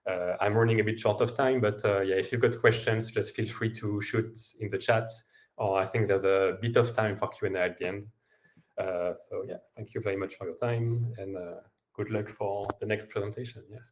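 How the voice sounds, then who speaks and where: A man giving a software demo over a video call, with a hesitant manner and frequent fillers. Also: on the low side, steady tone, moderate pace. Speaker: guest speaker.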